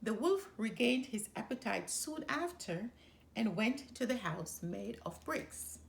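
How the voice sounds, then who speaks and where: A woman reading a children's story aloud as an expressive storyteller. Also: a little high, unhurried, gravelly.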